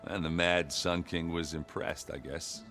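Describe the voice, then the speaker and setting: An actor playing a hearty, boisterous warrior who tells stories in a folksy, bashful, chuckling way in a video game. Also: male voice, low-pitched, fairly even intonation, unhurried, a bit bright, faint music behind. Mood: relaxed, wry. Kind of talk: video game cutscene acting.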